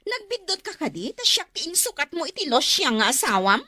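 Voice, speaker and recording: A woman's voice: high-pitched, big pitch swings, brisk, bright, forceful tone, dry recording, studio quality, exaggerated voice. A woman playing a discontented, defiant wife in an Ilocano radio drama, arguing dramatically. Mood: angry, defiant. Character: discontented, sharp-tongued young wife who craves comfort.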